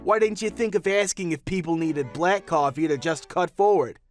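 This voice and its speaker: A man's voice: a little high, normal speed, faint music behind, lightly acted. A man voicing an impatient, furious businessman who rants and insults people. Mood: ranting. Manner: ranting, insulting. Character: impatient, furious businessman, red-faced and rude.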